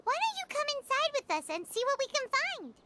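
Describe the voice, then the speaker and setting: Squeaky, excitable little fairy sidekick. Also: female voice, a little fast, very noisy. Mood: curious. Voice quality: squeaky, childlike. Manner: exclamatory, chatty. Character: tiny excitable floating fairy companion, childlike and talkative. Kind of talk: video game voice acting.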